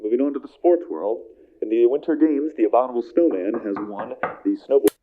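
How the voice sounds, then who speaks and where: An actor playing a brisk male newsreader heard through a radio filter in an audio play. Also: medium pitch. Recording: tinny noisy radio sound.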